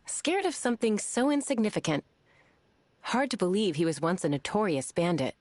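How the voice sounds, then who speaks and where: A woman's voice: mid-range voice, big pitch swings, normal speed, a little mellow, close to an everyday voice. A woman voicing a composed, knowing innkeeper who is wry and unflappable, in a video game. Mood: wry, unimpressed.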